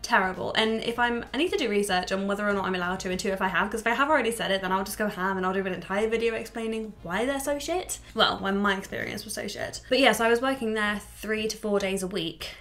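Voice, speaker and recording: A young woman talks casually to camera from a small room at home, candid and quick to swear. Her voice sits at a medium pitch and sounds a little stuffy from a cold. Faint music plays underneath. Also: fairly bright tone. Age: 20s. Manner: chatty, candid, swears freely, laughs often. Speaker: YouTuber.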